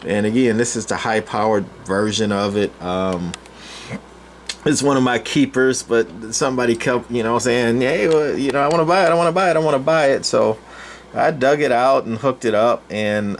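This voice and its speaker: A man giving a casual, rambling, off-the-cuff demonstration as a hobbyist collector, in a halting informal way. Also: mid-range voice. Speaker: channel host, vintage CB radio collector. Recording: clear background noise, room ambience.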